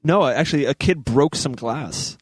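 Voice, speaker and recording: A man's voice: mid-range voice, expressive, moderate pace, breathy edge, no room sound. Casual man with a dry, close sound. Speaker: talk show crew member. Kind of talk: casual chat.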